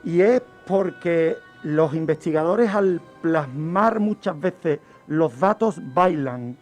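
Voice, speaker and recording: A man, telling true-crime cases as a guest criminologist on a radio show, explaining deliberately. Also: fairly high pitch, a little mellow. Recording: music bed under the voice. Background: background music.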